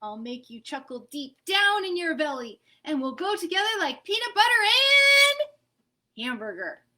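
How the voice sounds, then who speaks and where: A woman doing a high, bright little peanut butter boy, sing-song, hopeful, very lively swoops, storybook reading. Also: unhurried, heavily acted. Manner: sing-song rhyming plea.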